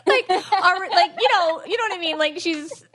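A woman co-hosting a podcast, chatting casually with many fillers and quick laughs. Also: extremely high pitch, a bit bright. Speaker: podcast co-host. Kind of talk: casual chat.

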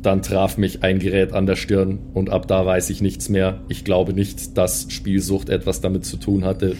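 A man acts out a killer robot in an improvised radio play. It speaks in clipped, literal statements, very flat and deep, with a dark tone and faint noise behind. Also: normal speed, soft background music, natural voice. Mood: deadpan. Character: cold, literal male combat robot, loyal son-like machine.

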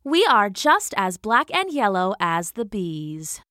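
A woman's voice: mid-range voice, sing-song, takes it slowly, bright, forceful tone, no room sound, clean studio sound, full character voice. A woman voicing a haughty, argumentative queen wasp, emphatic and easily offended, in a children's story. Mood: protesting.

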